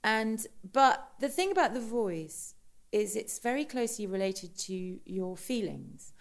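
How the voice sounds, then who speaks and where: A middle-aged woman, a stage actress, chatting in an interview in a rich, deliberately lowered voice, a little tired, with many restarts and quoted asides. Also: sing-song, creaky. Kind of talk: interview chat.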